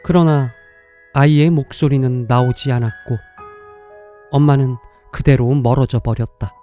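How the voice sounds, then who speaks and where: A man narrating a scripted dramatic story in a measured, literary way, pausing between short phrases. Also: mid-range voice, steady tone, mellow, soft background music.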